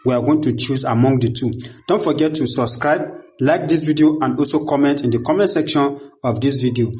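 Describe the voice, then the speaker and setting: A man's voice: medium pitch, a little fast, warm tone. A man hosting a step-by-step screen tutorial, narrating each click aloud in a repetitive, instructional way. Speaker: tutorial host.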